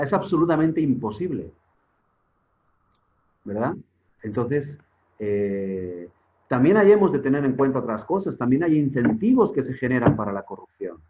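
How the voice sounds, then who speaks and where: A man's voice: medium pitch, slight background noise. Spain-born guy lecturing over a video call, sounds thin like a phone line, keeps asking himself questions and stumbling on repeats. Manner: emphatic, repeats words while searching, rhetorical questions. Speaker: guest expert on public security. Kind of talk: conversational lecture in an online forum. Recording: tinny phone-like online call audio.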